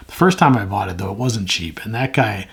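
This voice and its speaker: Hoarse, gravelly man chatting about houseplants. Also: on the low side, expressive, a little fast. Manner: rambling, frank, self-mocking. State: hoarse from a sore throat. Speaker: plant channel host. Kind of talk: casual talk to camera.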